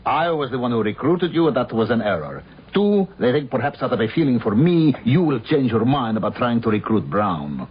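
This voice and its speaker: An actor playing a bitter, insistent film director with formal, pointed phrasing, in a radio drama. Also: male voice, mid-range voice, lively intonation, fairly quick, breathy edge. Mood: bitter, agitated. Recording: dull, hissy radio broadcast recording.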